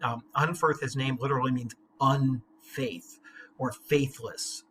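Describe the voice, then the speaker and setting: A man's voice: medium pitch, steady tone, unhurried, a bit bright, slight background noise. A man working as a literature teacher, reading aloud and explaining in casual, slangy language. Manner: slangy, informal paraphrasing.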